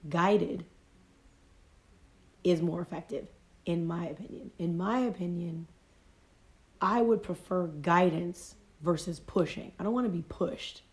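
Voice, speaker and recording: A woman's voice: on the low side, unhurried, pauses often. A woman life coach with a cold, talking casually and reflectively on a phone livestream, repeating and rephrasing her points. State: has a cold, voice fading. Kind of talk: motivational casual talk. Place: home livestream.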